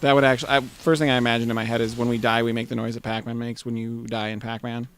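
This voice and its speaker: A man's voice: medium pitch, little pitch movement, fast talker, fairly bright tone. A man co-hosting a gaming show, chatting and musing casually as the game goes on. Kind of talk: casual joking let's play commentary. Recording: faint background noise and game ambience.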